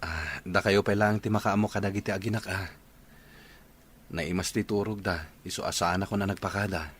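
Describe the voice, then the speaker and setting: An actor playing a tired, dutiful village father and son in an Ilocano radio drama, with an Ilocano accent and a husky voice. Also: deep voice, steady tone, moderate pace, fairly bright tone. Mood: patient.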